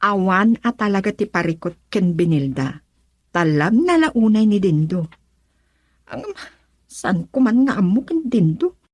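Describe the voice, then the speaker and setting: A woman's voice: medium pitch, lively intonation, a little slow, pauses often, a little mellow, husky, no room sound. A woman acting in an Ilocano radio drama as an opinionated character, speaking in an emphatic, questioning way.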